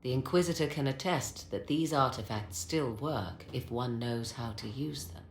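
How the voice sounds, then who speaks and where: A woman's voice: a bass voice, normal speed. A woman playing a haughty, knowing witch adviser in a fantasy game, with arch, archaic diction. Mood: knowing, ominous. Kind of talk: dramatic video game acting. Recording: roomy echo, faint background noise.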